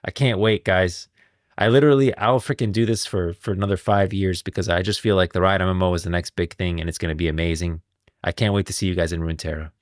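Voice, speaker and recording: A man's voice: sits low, steady tone, quick pace, dry recording, clean studio sound. A man hosting a casual livestream, talking about game lore in an enthusiastic, emphatic way. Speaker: livestream host and video creator.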